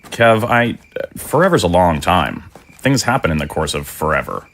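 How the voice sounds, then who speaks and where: A middle-aged man playing a devoted, gentle undead father in an audio drama, speaking in a halting, earnest way. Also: sits low, sing-song, exaggerated voice. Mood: tender, reflective.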